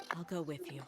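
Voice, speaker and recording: A woman's voice: low-pitched, heavy background noise. An actress playing a tough, resolute young female mercenary in a video game, with a firm, confident manner.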